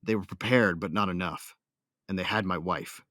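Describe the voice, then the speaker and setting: A man's voice: low-pitched, normal speed, pushed and bright, dry recording, clean studio sound. A man playing a hot-tempered warrior who narrates his own battles in an audio drama, intense and sardonic. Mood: bitter, wry.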